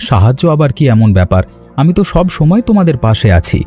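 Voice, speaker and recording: A man voicing an eager, obliging young village man in animated cartoon dialogue. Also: medium pitch, quick pace, soft background music, natural voice.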